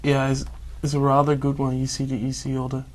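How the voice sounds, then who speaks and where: A man's voice: medium pitch, completely flat delivery, fairly quick, a little mellow. A young man with a Dutch accent, a candidate in a speaking exam, answering hesitantly with many filler sounds. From Netherlands. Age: young adult.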